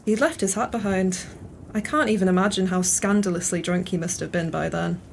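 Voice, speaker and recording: An actress playing a teasing, sharp-witted pub regular in an audio play, with a wry manner. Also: female voice, lowish voice, fairly quick, vocal fry, a little hiss or hum.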